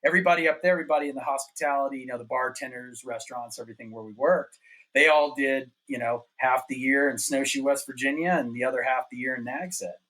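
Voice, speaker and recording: A man's voice: mid-range voice, fast talker, pushed and bright, low-quality recording. A middle-aged man from North Carolina, a podcast guest telling laid-back, rambling stories with frequent laughs. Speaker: podcast guest, former pro snowboarder. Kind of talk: casual storytelling.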